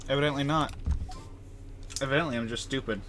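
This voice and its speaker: A man streams a video game with loose, off-the-cuff commentary. He is self-deprecating and swears mildly as he thinks aloud. Faint keyboard clicks and typing sit behind his mid-pitched voice. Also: fairly quick, a bit bright. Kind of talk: casual live gameplay commentary. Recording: clear keyboard and typing noise.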